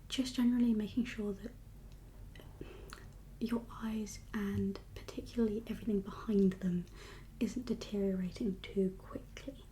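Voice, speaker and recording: A woman speaking in a soft, breathy whisper with a calm, reassuring, unhurried manner, as a doctor in an ASMR medical roleplay. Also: medium pitch, slight background noise, poor audio. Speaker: ASMR performer playing a doctor.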